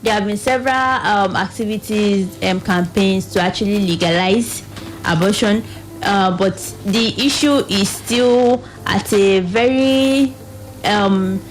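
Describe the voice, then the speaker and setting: A Nigerian woman speaks as a health advocate in a radio interview. Her pitch is average and she explains in a halting way, repeating phrases. Faint music sits behind her. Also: rough recording. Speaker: reproductive health advocate, studio guest.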